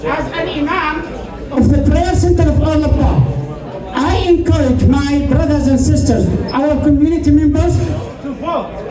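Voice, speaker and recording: A man with a high voice, an imam addressing election candidates at a community event, speaking haltingly and repeating phrases as he finds his words. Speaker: imam of a prayer center. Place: large indoor hall at a public event. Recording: echoey hall, distant mic, crowd murmur.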